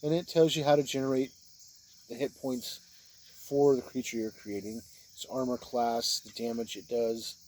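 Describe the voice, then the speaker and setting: A man giving an informal spoken review of role-playing books, plain-spoken and halting, speaking in short phrases. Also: mid-range voice, steady tone, darkish tone, slight background noise, rough recording.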